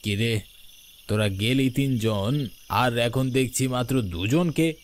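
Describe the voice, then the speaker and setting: A man's voice: mid-range voice, slow, natural voice. A man voicing a stern, concerned middle-aged father with a firm, questioning manner in a horror cartoon. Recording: faint noise with night insects.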